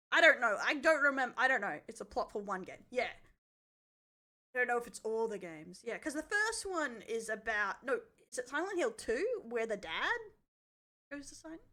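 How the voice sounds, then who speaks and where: Aussie girl streamer, high pitched n really animated, rambly casual react chat, swears a bit. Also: normal speed. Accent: Australian. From Australia.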